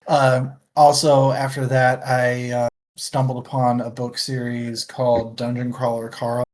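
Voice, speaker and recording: A man with a mid-pitched voice hosts a casual book discussion. He speaks at an unhurried, slow pace and rambles along in a jokey, self-deprecating manner. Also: monotone. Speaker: book club podcast host. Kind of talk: casual book chat on a livestream.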